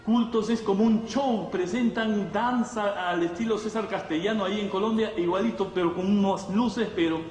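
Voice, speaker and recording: A man's voice: high-pitched, moderate pace, hardly any pauses, darkish tone, soft background music. A man preaching a conversational sermon to a congregation, teaching with rhetorical questions and checking in with his listeners. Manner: didactic, with rhetorical questions and frequent check-in tags. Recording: echoey hall, distant mic, faint noise.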